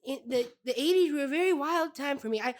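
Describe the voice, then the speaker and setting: A man voicing a tiny, simple ninety-two-year-old fisherman, earnest and boastful, in a halting comedy interview with sighs and repeated words. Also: extremely high pitch, lively intonation. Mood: reminiscing.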